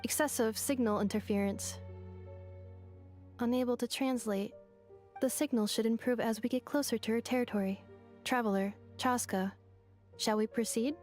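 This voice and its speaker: A woman voicing a polite, logical robot girl in a video game, speaking in measured, formal, matter-of-fact statements. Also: a little high, steady tone, a little mellow, soft background music, lightly acted. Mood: calm, factual.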